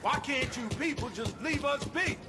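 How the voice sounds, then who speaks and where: An actor playing an angry, defiant squatter, shouting in a crime game. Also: male voice, extremely high pitch, lively intonation, normal speed, loud music behind the voice.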